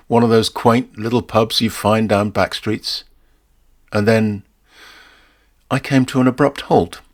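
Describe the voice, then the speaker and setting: Low-voiced British man narrating a story. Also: fairly bright tone. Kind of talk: scripted first-person story narration.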